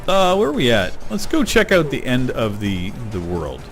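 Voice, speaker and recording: A middle-aged man rambling casually while playing a video game, getting excited over loot. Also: medium pitch, normal speed. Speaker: gaming YouTuber. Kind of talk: casual let's-play commentary. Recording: game music behind voice. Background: game music.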